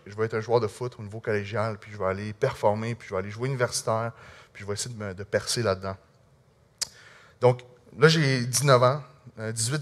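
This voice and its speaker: A man with a Québécois French accent, telling an audience his personal testimony in an earnest, halting, conversational way. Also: mid-range voice. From Quebec. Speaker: speaker sharing a personal testimony.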